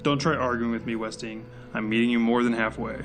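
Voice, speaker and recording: A man playing a gruff, by-the-book agent in an audio drama, curt, dry and exasperated. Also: mid-range voice, little pitch movement, a little fast, over music, lightly acted. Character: gruff, weary, by-the-book male agent in charge.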